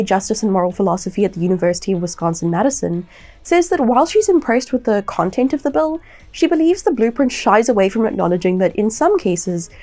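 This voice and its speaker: Lively woman presenting scripted news. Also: fairly high pitch. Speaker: presenter of a weekly tech news roundup.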